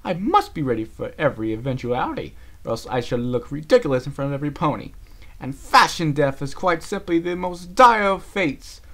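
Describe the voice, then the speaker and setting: A man voicing a theatrical, fashion-obsessed female unicorn pony with an affected posh, breathy manner. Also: high voice, very animated, moderate pace, fairly bright tone, pitched above the performer's own voice, heavily acted. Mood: haughty. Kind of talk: amateur audiobook reading of fan fiction.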